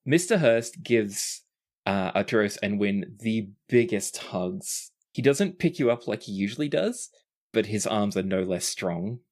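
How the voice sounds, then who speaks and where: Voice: medium pitch, expressive, a little slow, a little mellow. Game master narrating a tabletop adventure. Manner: conversational storytelling, voices characters' lines in passing.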